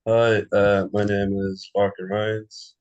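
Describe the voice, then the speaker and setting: A man's voice: lowish voice, flat delivery, slow pace, no echo. A young man in his twenties with an Irish accent, an engineering student, talking casually and a bit rambling. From southwest Ireland. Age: 20s. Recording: dull lo-fi audio.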